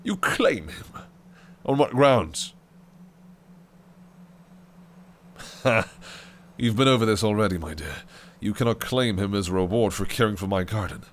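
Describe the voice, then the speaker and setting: An actor playing a possessive, scheming male fae prince in an audio roleplay drama, who speaks in a theatrical, old-fashioned way and swings between shouted rage and hushed whispers. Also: fairly low pitch, lively intonation, moderate pace, lightly acted. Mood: mocking.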